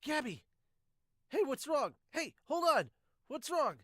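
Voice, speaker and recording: Man putting on high, frantic manga kids. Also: sing-song, slow pace, fairly bright tone, dry recording, exaggerated voice. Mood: alarmed. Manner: urgent, high, strained. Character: agitated, frightened children in a manga. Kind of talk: amateur manga dub reading.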